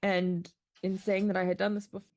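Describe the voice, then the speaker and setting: A woman making a personal video statement, talking hesitantly with many pauses and ums. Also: mid-range voice, little pitch movement, a little mellow.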